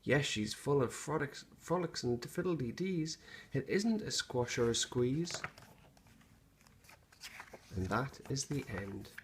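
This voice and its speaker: A man reading a rhyming children's bedtime story aloud in an expressive narrating style. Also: mid-range voice, takes it slowly, long pauses, slight background noise. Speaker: storybook reader.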